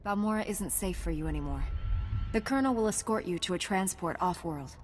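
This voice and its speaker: An actress playing a calm, firm female knight in a video game, composed and resolute. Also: fairly low pitch, brisk, a little hiss or hum, natural voice. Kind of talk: video game cutscene acting. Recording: long hall reverb, faint music.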